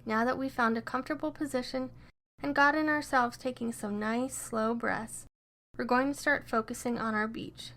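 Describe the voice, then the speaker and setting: A woman narrating a scripted guided meditation in a gentle, soothing and encouraging way. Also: fairly high pitch.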